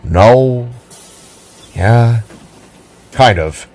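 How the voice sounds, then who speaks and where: A man's voice: medium pitch, very slow, a little mellow. A man voicing a grumbling but loving young male teacher creature in an audio drama, hesitant and wry, over music. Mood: hesitant, worried. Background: background music.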